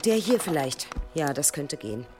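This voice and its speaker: A woman playing an exacting, gruff weapon maker in an audio drama, terse and muttering. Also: low voice, very animated, normal speed. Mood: critical, focused. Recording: music under the voice.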